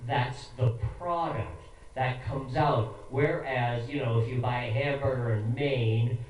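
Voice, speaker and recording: Male show host, American, casual and blunt, rambles haltingly, off-mic in a heavily reverberant room. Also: medium pitch, highs cut off. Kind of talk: casual opinionated interview talk. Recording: very echoey room, distant, faint noise.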